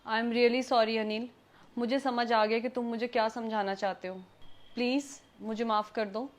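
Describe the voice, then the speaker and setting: Young Indian wife, played by an actress, high voice, proud, easily stung, speaks her mind in domestic drama. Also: animated, brisk. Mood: apologetic. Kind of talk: scripted domestic drama acting in Hindi with some English.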